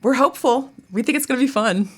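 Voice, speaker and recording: A woman's voice: high voice, quick pace. A woman talking casually and enthusiastically as an interview guest, in a chatty way. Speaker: guest, college theatre director.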